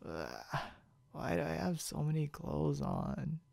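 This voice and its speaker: A man playing a stubborn, boastful, confused drunk young man in an ASMR roleplay, halting and rambling with mumbled, repeated words. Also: fairly high pitch, wildly swooping pitch, a little mellow, no room sound, full character voice. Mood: sleepy, needy. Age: young adult. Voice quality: gravelly, creaky.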